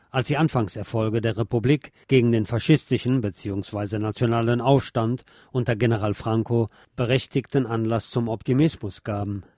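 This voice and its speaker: A man with a deep voice reading a scripted essay aloud in a measured, formal, literary manner. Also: warm tone, no echo, studio quality. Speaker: host reading essays on history.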